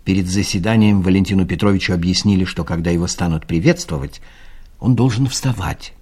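Husky, low, expressive male narrator. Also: brisk. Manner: dry, ironic. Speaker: narrator of an audio play. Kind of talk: scripted satirical storytelling narration.

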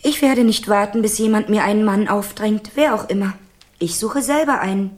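A willful, romantic princess in her teens played by a woman in a radio fairy tale. Her voice is husky and mid-pitched, and she speaks with commanding determination. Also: moderate pace, a little mellow, close to an everyday voice. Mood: determined. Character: headstrong fifteen-year-old princess, stubborn and romantic. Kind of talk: German radio play acting.

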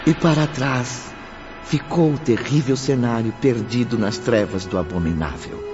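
Male narrator, solemn and measured, music bed underneath. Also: mid-range voice. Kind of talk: dramatic scripted narration. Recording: dull lo-fi audio with music bed.

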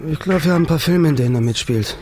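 A man's voice: a little high, very fast, darkish tone, soft background music, voice pushed up, close to an everyday voice. An actor playing a sleazy, evasive male porn producer.

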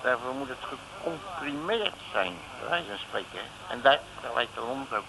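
An actor playing a cocky, self-satisfied comic detective who blusters in colloquial slang in a 1950s radio comedy serial. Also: male voice, mid-range voice, lively intonation, normal speed, vocal fry. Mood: breezy, reassuring. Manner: colloquial, blustering, tags phrases with questioning grunts. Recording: noisy vintage radio recording.

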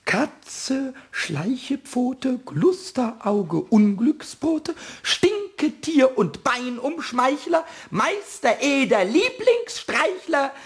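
A man voicing a small, cheeky, jealous kobold in a children's radio play, squawky and excitable, stammering out indignant repetitions. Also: extremely high pitch, drawn-out words, hardly any pauses, full character voice. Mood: gloating recital.

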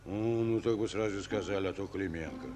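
An actor playing a calm, plain-spoken Soviet junior commander in a radio play, laconic and unhurried. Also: male voice, deep voice, a little hiss or hum, natural voice. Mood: friendly, calm. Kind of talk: Russian radio play acting.

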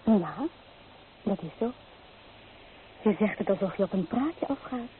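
A muffled, slightly noisy old radio recording carries an actress as a young bride-to-be in a drama. She has a medium-pitched female voice and an earnest, dreamy manner, expressive and gently reproachful. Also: unhurried, close to an everyday voice. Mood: defensive, hurt. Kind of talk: old Dutch radio play acting.

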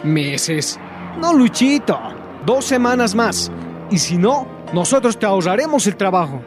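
A man's voice: high-pitched, big pitch swings, unhurried, music in the background. A man with a Bolivian accent playing a cocky, domineering teenage bully in a radio drama, taunting and slangy. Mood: threatening. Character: cocky, bullying, possessive teenage gang leader.

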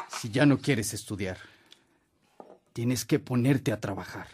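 An actor playing a stern, practical older father with a Mexican accent and a husky voice, speaking firmly in a radio drama. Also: medium pitch, darkish tone. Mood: stern, questioning. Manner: measured, firm.

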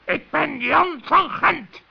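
A performer playing a stubborn, officious male gannet working as a border guard in a children's radio play, with a squawking, creaky voice. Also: high voice, slow pace, heavily acted. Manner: officious, unbending. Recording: dull old radio play recording.

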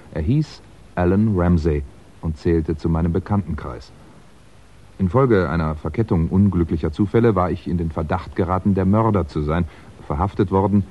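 An actor playing a wry, articulate crime writer who speaks in a measured, precise way in a 1960s German radio play. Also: male voice, low voice. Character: wry, articulate male crime writer narrating his own predicament. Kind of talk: 1960s German radio drama, first-person narration. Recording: muffled 1960s radio recording.

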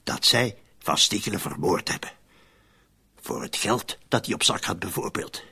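An actor playing a scheming, urgent undertaker in a radio drama, with a raspy, gravelly voice. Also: male voice, sits low, lively intonation, moderate pace, bright, forceful tone, full character voice. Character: scheming, urgent male undertaker plotting a frame-up.

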